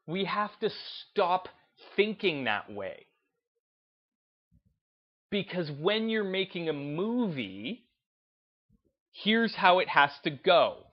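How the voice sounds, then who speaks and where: Slow-paced man, emphatic, slightly dull audio. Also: on the high side. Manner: emphatic, deliberate, stressing points with short declarations. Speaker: gaming video host. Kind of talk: opinionated unscripted commentary.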